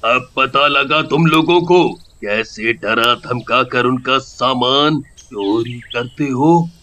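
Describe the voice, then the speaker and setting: A man voicing a vengeful male ghost in a cartoon story, talking slowly and threateningly. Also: pushed and bright, slight background noise. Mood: stern. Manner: slow, low and threatening. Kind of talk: cartoon voice acting.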